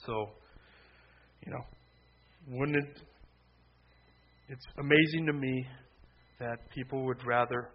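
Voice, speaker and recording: A man preaching a sermon in a loud, conversational way, with sudden emphatic bursts. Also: fairly even intonation, slow, long pauses. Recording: slightly dull low-fi sound with faint background noise.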